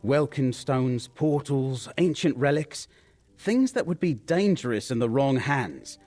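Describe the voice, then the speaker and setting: An earnest scholar and investigator in a fantasy game. His mid-pitched voice is thoughtful and deliberate, laying things out as he goes. Music plays behind him. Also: lively intonation, darkish tone. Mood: earnest, pondering. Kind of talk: scripted fantasy video game dialogue.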